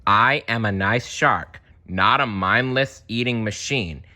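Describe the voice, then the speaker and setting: A man's voice: lowish voice, takes it slowly, sharp tone, exaggerated voice. A man voicing a storybook shark, mock-solemn and scornful, with an emphatic, mocking manner. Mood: solemn pledge.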